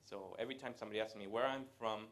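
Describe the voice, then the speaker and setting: A man, giving a live presentation to an audience in a conversational way, pausing often to hesitate. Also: lowish voice, a bit bright. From Latin America. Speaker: guest speaker. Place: event room with a live audience.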